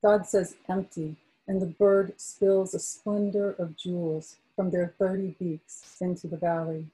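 A woman poet reads aloud in a slow, soft-spoken way, chatting casually between poems. Her voice is dark and mellow, a little husky, and sits slightly low. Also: level tone, low-quality recording. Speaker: poet at a book launch. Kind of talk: poetry reading with casual asides.

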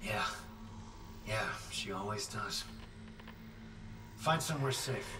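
An actor playing a steady, practical repair engineer in a sci-fi horror game, speaking tersely. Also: male voice, fairly low pitch, slow, recorded from a distance, noisy background. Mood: determined. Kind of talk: naturalistic video game acting.

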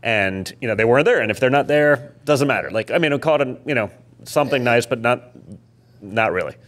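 A man's voice: medium pitch, a little fast, fairly bright tone. A man co-hosting a podcast, chatting casually in a blunt, informal way. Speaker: podcast co-host. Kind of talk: casual chat.

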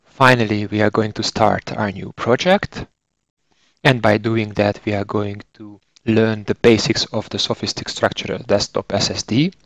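A man in his forties with a Hungarian accent delivers scripted instructional narration as a trainer. His voice sits fairly low. He explains things methodically, recorded close and very dry with no room sound. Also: steady tone, normal speed, creaky, no echo. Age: 40s. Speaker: software trainer and consultant engineer.

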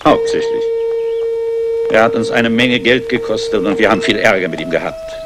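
An actor playing a courteous, guarded English aristocrat investor in a 1960s German crime radio play. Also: male voice, lowish voice, wildly swooping pitch, normal speed, very noisy. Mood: polite. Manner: courteous, urbane.

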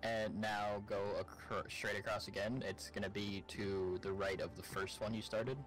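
A man's voice: fairly low pitch, level tone, normal speed, fairly bright tone, faint music behind, low-quality recording. A man chatting casually during a co-op game, giving his partner step-by-step directions. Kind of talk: casual gameplay chat.

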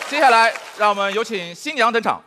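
Man, high lively voice, mock-announcer comedy, straight-faced, very noisy studio stage. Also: fast talker, a crowd in the background. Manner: straight-faced delivery of absurd lines. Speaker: manzai comedian, funny man. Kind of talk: manzai sketch comedy, mock announcer delivery. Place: large TV studio stage with live audience. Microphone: headset.

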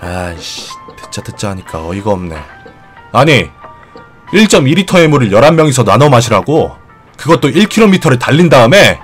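A man's voice: medium pitch, sing-song, a little fast, faint music behind, natural voice. An actor playing a hot-tempered, blunt young man in an audio drama. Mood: angry. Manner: irritable, blunt.

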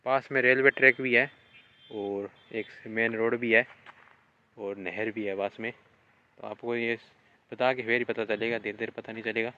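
A man's voice: fairly low pitch, normal speed, lo-fi sound. Young man vlogging on a phone outdoors. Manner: relaxed, chatty, addressing viewers directly. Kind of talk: casual vlog talk to camera. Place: outdoors, rural fields and village rooftop. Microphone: phone held at arm's length.

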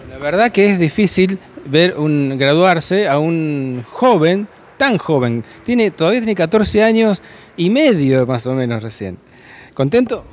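An Argentine man reporting from an indoor event, his voice sitting a little high. He asks question after question in a friendly, upbeat way, and he speaks into a handheld microphone. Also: very animated, unhurried. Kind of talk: on-the-spot event interview. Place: indoor school hall.

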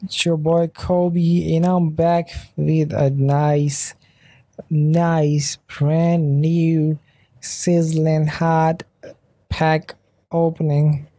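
A man's voice: fairly high pitch, mellow, dry recording. A sleepy man, not a native English speaker, casually commentating a mobile game pack opening while trying out a put-on British accent. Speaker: mobile game channel host.

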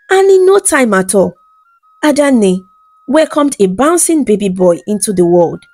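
A woman narrating a scripted folktale in a measured, dramatic storytelling manner. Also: medium pitch, a little mellow, no room sound.

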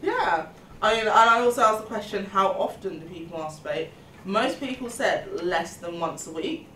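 A woman's voice: mid-range voice, lively intonation, moderate pace, bright, forceful tone. A woman in her twenties hosting a panel podcast in a semi-scripted, conversational way. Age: 20s. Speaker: podcast host, student union welfare officer. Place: meeting room indoors. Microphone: table microphone, a little distant. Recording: echoey room, distant mic, background noise.

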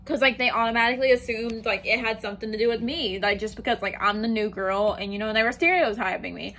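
A woman's voice: a little high, steady tone, fast, fairly bright tone. A young woman telling a story in a casual, rambling way, filled with "like" and "you know", sitting on the floor of a small room and talking to her phone. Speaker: vlogger.

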